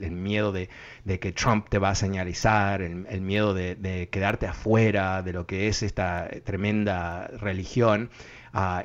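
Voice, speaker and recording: A man with a fairly low voice hosts a radio talk show and gives earnest commentary. He thinks aloud and fills his pauses with hesitations. The broadcast sounds muffled and lo-fi. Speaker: radio host.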